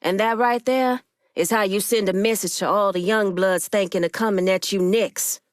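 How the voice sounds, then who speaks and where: A woman playing a proud, shrewd Haitian gang boss in a video game, speaking in a stern, deliberate, profane street-tough way. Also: medium pitch, natural voice. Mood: insistent, proud. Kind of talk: video game character dialogue.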